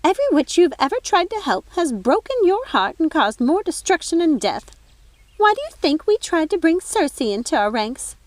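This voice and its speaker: Woman acting a shrill, haughty fairy godmother, high-pitched. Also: very animated, moderate pace, no room sound. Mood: accusing. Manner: shrill, emphatic, scolding. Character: haughty, indignant fairy godmother, squealing and accusatory.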